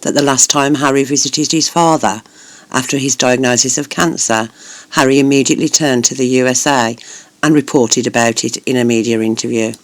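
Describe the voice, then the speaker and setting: A man co-hosting a royal news show, giving scripted commentary in a measured, formal, explanatory way. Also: mid-range voice, steady tone.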